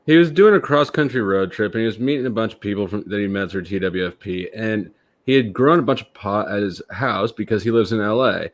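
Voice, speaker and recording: A man's voice: on the low side, fairly quick. A man co-hosting a casual livestream, blunt and teasing, with plenty of swearing. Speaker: livestream co-host.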